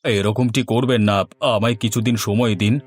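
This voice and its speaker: A man's voice: fairly low pitch, level tone, normal speed, a little mellow, close to an everyday voice. A man voicing a pleading, debt-ridden merchant and father in a fairy-tale cartoon. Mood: pleading, distressed.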